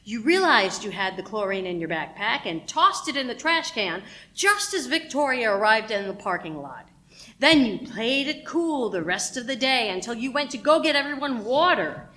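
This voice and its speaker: Woman playing a high, sharp-tongued accuser. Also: poor audio. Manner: pointed, cutting, deliberate. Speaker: actor in a radio play. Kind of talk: murder mystery radio play acting.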